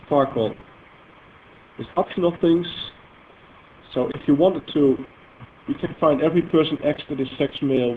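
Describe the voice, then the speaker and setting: A man with a Dutch accent explaining database queries in a live demo, halting and full of fillers. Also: fairly high pitch. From Netherlands. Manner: halting, filler-heavy, self-correcting explanation. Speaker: software presenter demonstrating database queries. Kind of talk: live technical demo talk. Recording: tinny phone-like audio with faint noise.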